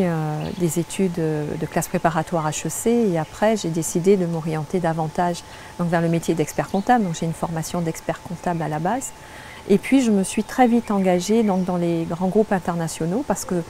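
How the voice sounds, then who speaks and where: Warm-voiced woman from French Guiana. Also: on the low side, slight background noise. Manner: earnest, reflective, many restarts and fillers. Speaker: interview guest, spiritual guide. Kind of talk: personal testimony in conversation.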